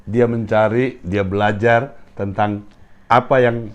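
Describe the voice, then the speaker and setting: A man's voice: lowish voice, fairly even intonation, slow. A middle-aged man hosting a casual interview and teaching acting, chatty and joking as he prompts with questions.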